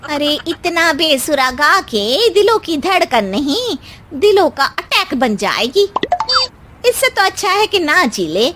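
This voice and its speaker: Woman doing a teasing scolding neighbour lady, high animated voice, comic family story. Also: slow pace, background noise, full character voice. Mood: mocking. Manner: mocking, scolding. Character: blunt, teasing neighbourhood best friend, a woman.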